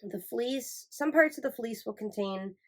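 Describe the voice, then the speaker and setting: A woman's voice: on the high side, lively intonation, measured pace. A woman from Michigan hosting a knitting podcast, chatting in a rambling, self-correcting way and sounding nasal from a cold. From Michigan, USA. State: congested from a cold. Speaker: podcast host. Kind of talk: casual chat.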